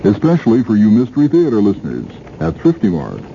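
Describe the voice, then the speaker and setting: A man's voice: fairly low pitch, huge pitch swings, fast talker, warm tone. A man working as a radio announcer, reading a scripted retail advertisement with emphatic salesman delivery. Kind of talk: scripted local supermarket ad read. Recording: muffled, hissy 1970s radio recording.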